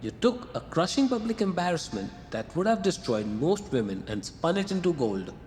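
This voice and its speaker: A man playing a calm, gently teasing male muse in a radio drama. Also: a little high, moderate pace, faint music behind. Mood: wry. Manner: wry, calm, coaxing. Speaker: the writer's muse. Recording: long reverb, faint noise.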